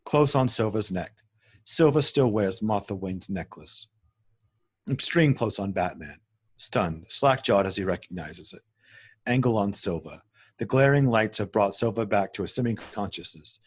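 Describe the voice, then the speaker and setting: A man's voice: fairly low pitch, animated, normal speed, breathy edge. A man narrating a screenplay at a table read, reading out scene headings and action as written. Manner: stumbles and corrects himself while reading. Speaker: narrator reading stage directions.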